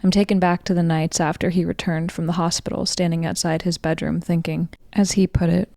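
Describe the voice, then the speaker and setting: A woman's voice: on the low side, flat delivery, a little fast, vocal fry, mellow, dry recording, studio quality. A woman reading a scripted first-person personal essay as its writer.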